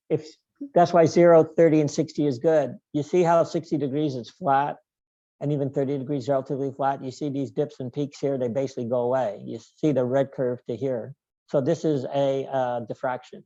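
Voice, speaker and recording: A man giving a technical talk in a halting, digressive, explanatory way. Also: medium pitch, dark tone, dry recording, dull audio. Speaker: guest lecturer, loudspeaker engineer. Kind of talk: technical presentation with question and answer.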